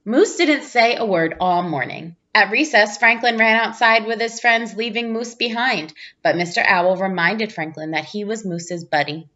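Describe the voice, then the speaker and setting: A woman reading a children's storybook aloud in an expressive narrating style. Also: mid-range voice, moderate pace, hardly any pauses, highs cut off. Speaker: storybook reader, teacher.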